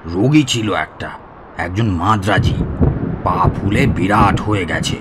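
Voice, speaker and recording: Boastful, low-voiced village healer. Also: male voice, unhurried, very noisy. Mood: boastful, casual. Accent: Bengali. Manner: boastful, folksy, chatty. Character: boastful village tantric healer, garrulous storyteller of ghost tales. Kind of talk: Bengali audio drama acting.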